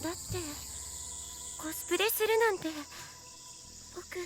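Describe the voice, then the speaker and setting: A woman voice-acting a cute, gentle young character in a Japanese anime game. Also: extremely high pitch, brisk, a crowd in the background. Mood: shy, hesitant. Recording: game audio with music over it. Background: game music.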